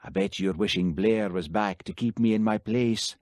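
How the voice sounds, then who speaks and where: A man reading an audiobook, voicing a Highland village policeman who talks plainly and questions firmly. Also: moderate pace, a little mellow, no echo, natural voice. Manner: plain-spoken, firm questioning. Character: Highland village policeman, easygoing but stern. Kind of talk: audiobook character voice.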